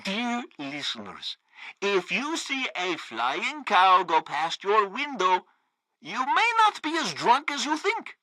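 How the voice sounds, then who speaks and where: Gleefully loyal state radio host: bright, forceful, swooping delivery, pompous and sycophantic, game news satire. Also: male voice, high voice, huge pitch swings, slow, no echo, exaggerated voice. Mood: gleefully proud. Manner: grandiose, sycophantic.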